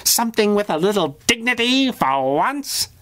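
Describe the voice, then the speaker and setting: Man putting on a high-pitched gleeful little gangster villain. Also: sing-song, normal speed, bright, forceful tone, heavily acted. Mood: petulant. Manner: sneering, pouting, theatrical. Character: small grinning male gangster villain, petulant and gleefully menacing.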